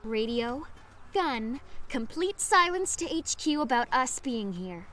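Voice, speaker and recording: A woman's voice: on the high side, sing-song, fairly bright tone, exaggerated voice. A woman playing a determined but fragile young survivor leader in a dramatic audio drama. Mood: firm, controlled. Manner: insistent, halting when shaken.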